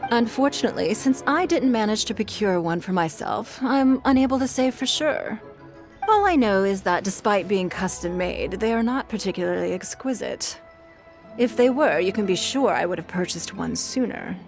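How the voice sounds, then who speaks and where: A woman playing a shrewd, refined, wealthy businesswoman in a video game, speaking in a measured, formal, eloquent way. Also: medium pitch, expressive, faint music behind, lightly acted. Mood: composed, informative. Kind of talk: game dialogue acting.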